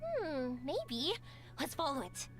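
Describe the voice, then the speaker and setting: A woman voicing a cheerful, warm-hearted young woman who talks in a bubbly, encouraging way over game music. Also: sits high, huge pitch swings, takes it slowly, heavily acted. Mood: playful.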